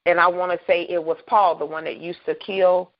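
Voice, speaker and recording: A woman hosting a faith talk radio show, loud and booming, bold and animated, laughing often. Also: brisk. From Southern United States. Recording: dull lo-fi sound.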